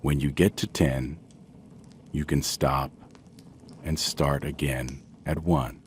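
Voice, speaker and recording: A man gently narrating a guided sleep meditation in a soothing, measured way with long pauses. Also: rumbling low, fairly even intonation, a little hiss or hum.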